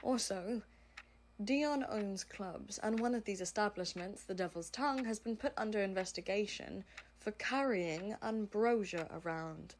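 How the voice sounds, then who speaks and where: A woman's voice: medium pitch, measured pace, slight background noise, natural voice. A woman playing a serious, exacting aide with a formal, dry manner in an audio roleplay. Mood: serious, concerned. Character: serious, exacting female crime-business aide, dryly exasperated. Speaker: voice actor. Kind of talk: amateur audio roleplay acting.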